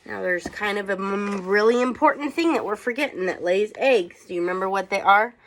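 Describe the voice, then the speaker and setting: A woman's voice: mid-range voice, brisk, slight background noise, low-quality recording. A woman teacher reading aloud to children, with chatty asides and questions for her young listeners.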